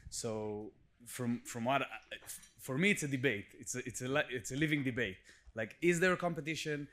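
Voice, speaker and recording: A man with an Israeli accent and a mid-range pitch puts a question from the audience. English is not his native language, and he speaks haltingly, repeating words as he searches for phrasing. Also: fairly bright tone. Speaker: consultant asking an audience question. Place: live conference audience.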